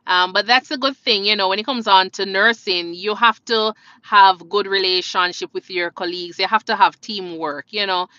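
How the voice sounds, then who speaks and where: A woman's voice: medium pitch, fairly even intonation, normal speed, few pauses, bright, forceful tone, no echo, muffled recording. A woman with a Jamaican accent hosting a casual live question-and-answer stream, chatting conversationally and addressing viewers directly. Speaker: live stream host, nurse.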